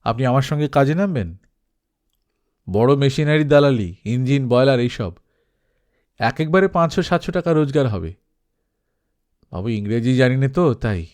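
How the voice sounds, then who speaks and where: A man's voice: mid-range voice, lively intonation, moderate pace, pauses often, a little mellow, natural voice. A man voicing a friendly, shrewd old broker in a story, frank and persuasive.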